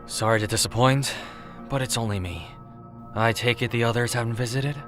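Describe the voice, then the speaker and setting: A wry, weary god of death played by a man, with a mid-pitched voice. He talks like a casual, slightly awkward big brother in an audio drama, dry and sardonic, with music clearly playing underneath. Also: brisk, lightly acted. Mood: dry, guarded. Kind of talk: audio drama acting, conversational. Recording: prominent background music bed.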